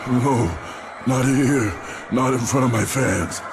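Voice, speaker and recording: An actor playing a vain showman villain in a video game, gravelly and theatrical. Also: mid-range voice, slow, noisy background. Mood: pleading, cornered. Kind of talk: dramatic game dialogue. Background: prominent music.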